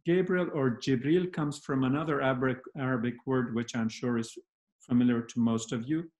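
A man speaking as a panel guest in a reflective discussion, slow and measured with many pauses and fillers, on a video call from a home study. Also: medium pitch, fairly even intonation, a little mellow. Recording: roomy video call audio.

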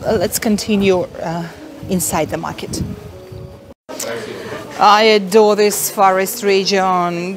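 A middle-aged woman whose first language is Russian, talking in a casual travel vlog to her phone with many fillers and asides to viewers. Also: mid-range voice. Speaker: vlogger. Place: busy indoor market hall. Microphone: phone at arm's length.

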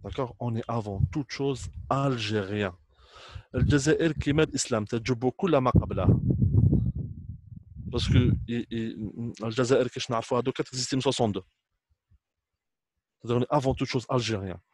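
A man in his thirties with an Algerian accent talks quickly and informally to the camera. He switches between languages and repeats points for emphasis. The sound is dry and close. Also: medium pitch, darkish tone, no echo. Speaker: trainer speaking to students.

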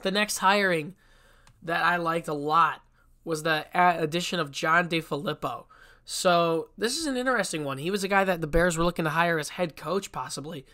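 A man's voice: a little high, normal speed, bright, forceful tone. A young man with a stuffy, congested voice from a cold, hosting a casual solo sports podcast and talking bluntly with frequent restarts. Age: young adult. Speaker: podcast host.

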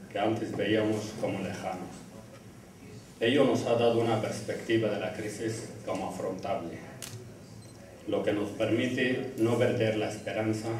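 Low-voiced man reading an echoey speech. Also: a little mellow, distant mic, a little hiss or hum. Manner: measured, reading from notes. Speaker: graduating student giving the class speech. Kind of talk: formal speech read from a lectern. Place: indoor classroom, standing at a lectern. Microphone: lectern mic, filmed by a far camera. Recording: echoey room heard from afar.

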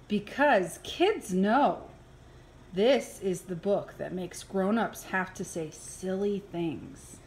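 A woman reading a children's picture book aloud in an expressive, playful way, with exaggerated reactions. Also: medium pitch, poor audio. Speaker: early childhood program coordinator reading aloud. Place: indoors, filmed over an open book.

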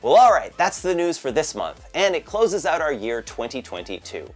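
A man in his thirties presenting scripted monthly tech news from a bright home office, with a lively, lightly joking delivery. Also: on the high side, moderate pace, breathy edge, faint music behind.

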